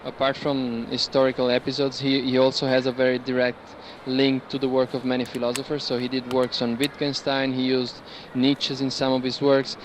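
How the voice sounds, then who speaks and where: A man's voice: medium pitch, completely flat delivery, normal speed, hardly any pauses. A man talks informally as a guide explaining artworks. He searches for his words as he goes. Busy ambient noise of a fair hall sits behind him. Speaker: curator guiding an art fair tour. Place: large indoor art fair hall.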